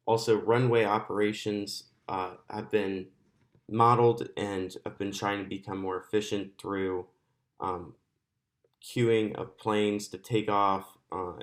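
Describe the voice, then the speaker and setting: A man giving an explanatory slide presentation as a student presenter, pausing often in mid-sentence. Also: lowish voice.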